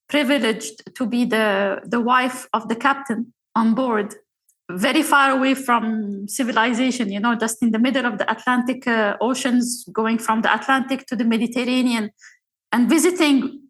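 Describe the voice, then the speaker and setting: A middle-aged woman speaks as a podcast guest, her plain in every phrase. Her voice sits a little high, and she talks haltingly, stopping on fillers and starting her sentences again as she tells her stories. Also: steady tone, fairly bright tone. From Algeria. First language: Arabic. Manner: halting, with frequent fillers and restarts. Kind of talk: casual storytelling interview.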